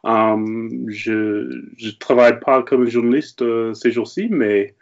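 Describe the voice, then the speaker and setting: Guest spot: Canadian man, native English speaker, stumbling through French with pauses and English words, mid-pitch, dull lo-fi sound. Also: steady tone. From Saskatchewan, Canada. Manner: halting, searching for French words, mixing in English. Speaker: podcast guest. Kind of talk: casual chat. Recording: dull lo-fi audio.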